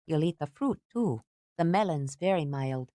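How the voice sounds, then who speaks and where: A bossy older housekeeper, brisk and fiercely fond, scolding in a lively voice that swings high when she gets worked up. A woman puts her on in a storybook reading. Dry, close sound. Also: dry recording.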